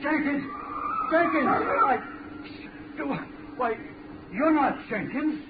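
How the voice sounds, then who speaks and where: An imperious, fretful old rich father in a 1930s radio play. His voice is very high and blustering. The recording is thin and clearly noisy. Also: expressive, slow pace, narrow, tinny audio, heavily acted. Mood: alarmed, frightened. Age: older. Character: indignant, fretful wealthy old man, stubborn father.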